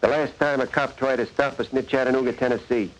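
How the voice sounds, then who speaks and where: An actor playing a brazen jailbreaker and cop killer, bragging toughly in a 1940s radio drama monologue. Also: male voice, medium pitch, steady tone, fast talker, lightly acted, poor audio. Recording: vintage 1940s radio recording.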